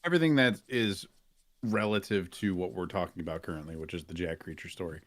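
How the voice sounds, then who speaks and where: A man's voice: deep voice, fast. A man co-hosting a podcast, chatting casually in a blunt, joking way. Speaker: podcast co-host and game streamer. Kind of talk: casual chat.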